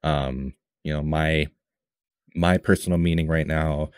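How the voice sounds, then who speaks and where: Very deep, flat-voiced man reacting. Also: measured pace, clear, resonant tone, no echo, studio quality. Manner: hesitant and reflective, many ums. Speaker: music reaction channel host. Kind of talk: casual reaction commentary.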